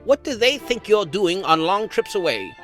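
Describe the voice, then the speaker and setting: A man voicing a cocky, flippant dragon assassin and family dad in an animated fantasy series, with sarcastic, stammering banter. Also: high voice, moderate pace, faint music behind. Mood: teasing, incredulous.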